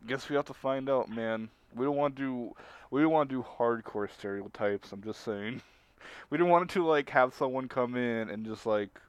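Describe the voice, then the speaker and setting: Smooth-voiced man chatting, dry close sound. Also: mid-range voice, normal speed, no echo. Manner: rambling, giggly. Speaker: stream host. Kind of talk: casual livestream chat.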